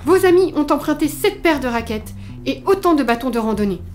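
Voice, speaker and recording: A woman's voice: high-pitched, expressive, brisk, darkish tone, soft background music. A woman playing an eccentric ski chalet employee in an audio comedy, giddy and officious, with sing-song and bureaucratic delivery. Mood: officious, evasive. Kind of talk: French comedy audio drama acting.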